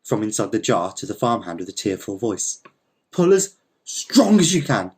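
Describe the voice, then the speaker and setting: A man voicing a proud, stubborn old male repairman in a storybook reading, indignant and insistent, his voice husky. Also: fairly low pitch, very animated, moderate pace.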